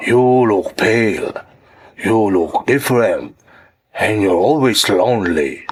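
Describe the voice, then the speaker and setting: A man acting as a worried employer in a radio drama. He talks very slowly in a husky voice with swooping pitch. His Filipino-accented English is deliberate and halting, kindly but insistent. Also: animated, full character voice. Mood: concerned. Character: concerned male employer abroad, slow, insistent, kindly.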